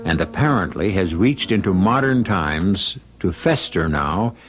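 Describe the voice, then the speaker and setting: A man's voice: deep voice, expressive, a little slow. A man hosting an old radio mystery anthology, narrating in a grave, theatrical and ominous manner. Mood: portentous. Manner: theatrical, rhetorical, portentous. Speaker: host narrator. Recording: muffled old radio recording with music.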